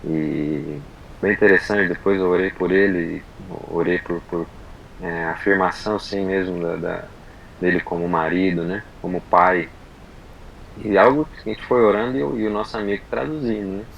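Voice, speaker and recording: A man from Brazil telling a personal story on a podcast, in unhurried, halting casual chat full of fillers and restarts. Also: low voice, fairly even intonation, gravelly, lo-fi sound, a little hiss or hum. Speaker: podcast guest.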